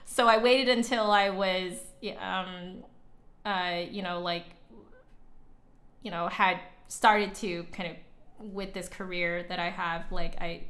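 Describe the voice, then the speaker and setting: A woman's voice: on the low side, bright, forceful tone. A woman hosting a livestream, chatting casually with viewers in a giggly, rambling way full of asides. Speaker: livestream host, musician.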